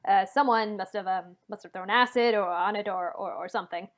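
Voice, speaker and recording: A woman playing an evasive gentleman under police questioning in an old-time radio play, halting and defensive. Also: mid-range voice, natural voice. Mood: nervous, evasive. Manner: halting, stammering.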